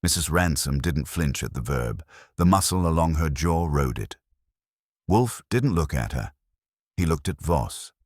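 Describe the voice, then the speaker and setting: A man's voice: extremely low pitch, expressive, a little slow, no room sound, studio quality. A man reading a scripted first-person detective mystery as its narrator.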